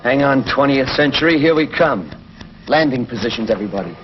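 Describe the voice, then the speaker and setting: Actor as a brisk spaceship hero, noisy recording. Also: male voice, mid-range voice, lively intonation, close to an everyday voice. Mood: brisk, commanding. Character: brisk, commanding heroic spaceship pilot. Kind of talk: 1950s television sci-fi serial acting. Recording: dull, very noisy 1950s TV soundtrack.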